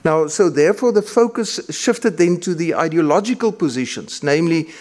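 Halting Afrikaans-speaking male lecturer. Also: fairly high pitch, lively intonation, a little mellow. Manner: measured, halting with frequent fillers and restarts. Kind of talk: academic lecture.

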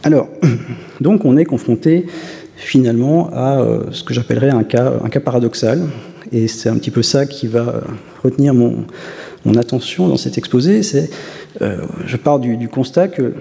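A man's voice: medium pitch, normal speed, vocal fry, dark tone. A man giving an academic talk in a lecture hall, hesitant with many fillers and restarts. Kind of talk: academic conference presentation. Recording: long hall reverb.

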